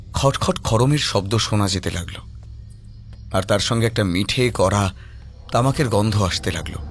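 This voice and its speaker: A man's voice: mid-range voice, a bit bright. A man narrating a scripted horror audio story in a storytelling style.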